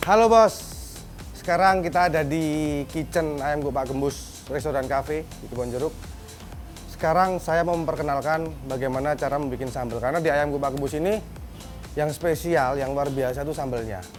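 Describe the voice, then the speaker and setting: A man's voice: on the high side, normal speed, soft background music. A man from Central Java, a restaurant owner explaining things informally to camera. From Magelang, Central Java, Indonesia. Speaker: restaurant owner being featured.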